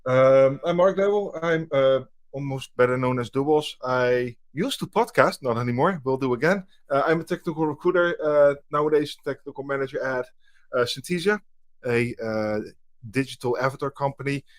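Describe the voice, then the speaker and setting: A man's voice: medium pitch, normal speed. Man speaking in clipped phrases. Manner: clipped short sentences. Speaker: guest, technical recruiter. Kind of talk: casual video-call chat.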